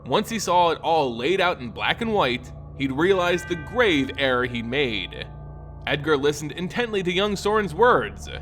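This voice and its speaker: A man narrating a scripted fantasy story in a theatrical, measured, dramatic way. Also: a little high, lively intonation, fairly bright tone. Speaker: lore channel narrator. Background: background music.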